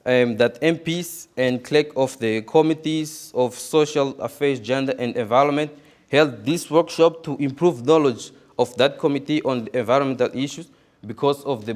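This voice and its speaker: A man giving a conference presentation, halting and repeating words often. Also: mid-range voice, smooth. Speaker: conference speaker.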